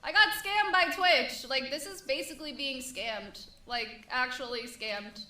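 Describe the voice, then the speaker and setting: High, tearful woman venting, echoey. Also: a little slow, sharp tone. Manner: upset complaining with sighs. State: exhausted and tearful. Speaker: streamer. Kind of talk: venting on stream. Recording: echoey room, distant mic, faint music.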